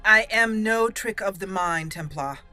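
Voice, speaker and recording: A woman's voice: medium pitch, unhurried, over music, lightly acted. A woman voicing a resolute, commanding female elf mage in a game roleplay, firm and declamatory. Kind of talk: acted roleplay dialogue over a game.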